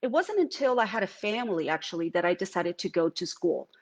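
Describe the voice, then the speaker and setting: A woman's voice: medium pitch, big pitch swings, brisk. Reflective middle-aged woman, Venezuelan accent. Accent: Venezuelan Spanish. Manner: reflective, with many fillers and restarts. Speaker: artist. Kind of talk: live-streamed artist talk. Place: home art studio.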